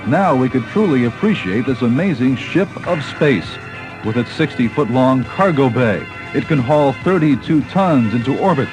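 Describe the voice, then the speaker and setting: A man's voice: mid-range voice, expressive, moderate pace, low-quality recording. American man narrating a documentary over music. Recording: 1980s cassette tape, music behind. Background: background music.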